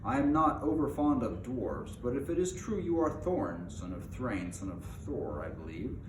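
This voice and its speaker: A man reading a storybook aloud as a huge, gruff bearded man who is suspicious, blunt and curt. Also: mid-range voice, sing-song, normal speed, pitched above the performer's own voice, natural voice. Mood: curt, distrustful. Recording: echoey room with clear background noise.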